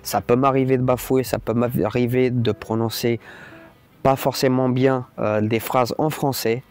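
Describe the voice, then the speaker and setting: A man's voice: mid-range voice, little pitch movement, unhurried, darkish tone, soft background music. A man whose native language is Portuguese, talking in a casual, unscripted vlog in a rambling, blunt and colloquial way. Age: adult, parent. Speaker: YouTuber, host.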